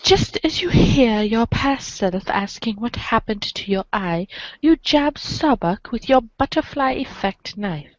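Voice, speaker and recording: A woman's voice: a little high, animated, unhurried, dry recording, lo-fi sound. A woman reading second-person comic narration aloud for a podcast. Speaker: reader in a webcomic reading podcast.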